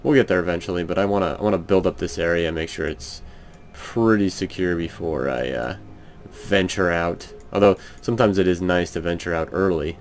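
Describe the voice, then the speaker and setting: A man with a raspy voice casually narrating a strategy game at home, thinking aloud hesitantly with many ums. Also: low voice, a little fast. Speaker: let's play gamer. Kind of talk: casual gameplay commentary. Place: home room.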